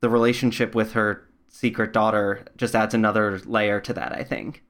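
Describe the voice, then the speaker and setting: A man interviewing someone in a thoughtful, measured and tentative conversational way. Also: lowish voice, flat delivery, a bit bright.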